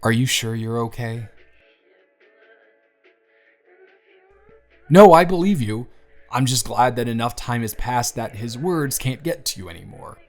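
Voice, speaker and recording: A young man playing an earnest, lovestruck best friend in an intimate audio roleplay, speaking in a halting, conversational way. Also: mid-range voice, expressive, close to an everyday voice. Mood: concerned. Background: background music.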